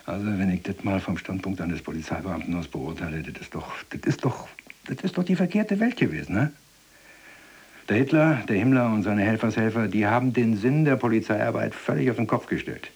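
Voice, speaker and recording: An actor playing a gruff, chatty German ex-policeman in prison, plain-spoken and indignant, rambling colloquially in a Berlin accent in a radio drama. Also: on the low side, brisk, a little mellow.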